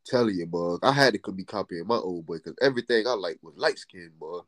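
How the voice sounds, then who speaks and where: A man in his twenties co-hosting a podcast, talking in an animated way that swings from low mutters to laughing outbursts. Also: quick pace, no echo, clean studio sound. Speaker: podcast co-host. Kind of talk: casual podcast chat.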